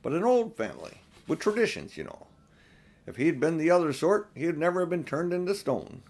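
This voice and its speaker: A man's voice: a little high, sing-song, a little fast, creaky, darkish tone, pitched above the performer's own voice, exaggerated voice. A man voicing a small, knowing faun who explains things in a chatty, genteel way for a storybook. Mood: fond, explanatory.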